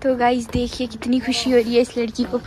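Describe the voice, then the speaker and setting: A woman's voice: on the high side, level tone, brisk. A bubbly young woman talking straight to her viewers on a travel vlog, filming a phone selfie outdoors on a mountain road. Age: young adult. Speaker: vlogger. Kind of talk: casual travel vlog chat. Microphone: phone selfie at arm's length. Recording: loud outdoor traffic and vehicle noise.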